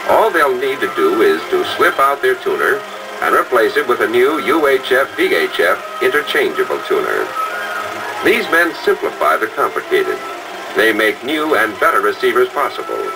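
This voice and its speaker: A man narrating a 1950s promotional film in a formal announcer style. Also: on the low side. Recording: distant, muffled old film sound with clear music.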